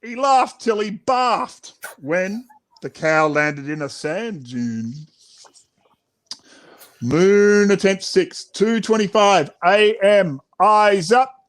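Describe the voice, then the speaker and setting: A man's voice: sits high, animated, takes it slowly. A middle-aged Australian man, a children's author, reading and chatting in a playful, theatrical way. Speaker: children's author reading his own book. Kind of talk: animated picture-book read-aloud and chat.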